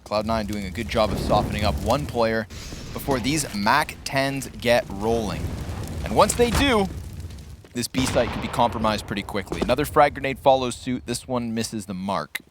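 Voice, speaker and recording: A man's voice: lowish voice, normal speed, music in the background. A man giving live play-by-play commentary on a competitive video game match.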